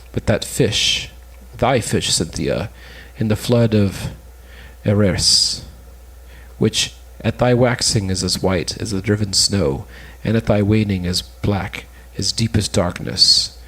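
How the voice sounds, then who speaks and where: A man playing a melancholy lover, low pitch, raspy husky tone, earnest declamation, amateur stage-play reading. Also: a bit bright, low-quality recording. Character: lovesick, melancholy man pining for a goddess. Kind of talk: cold-read amateur play reading of Elizabethan comedy.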